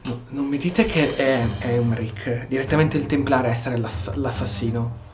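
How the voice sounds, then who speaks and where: A man's voice: medium pitch, steady tone, brisk, echoey room, noisy background. A man with an Italian accent giving casual gameplay commentary, thinking aloud and often repeating himself. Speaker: gamer commentator. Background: game music.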